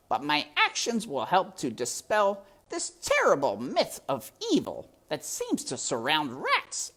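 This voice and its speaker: A woman's voice: deep voice, a bit bright, pitched above the performer's own voice, exaggerated voice. A woman voicing a sly, scheming male rat villain who mocks in a silky, theatrical way. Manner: silky, mocking, drawn-out and theatrical.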